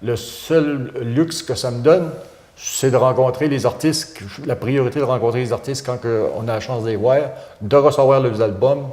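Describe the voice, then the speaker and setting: A man's voice: medium pitch, moderate pace, a little mellow, roomy sound. A man, a volunteer radio host answering interview questions with many hesitations and restarts. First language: French.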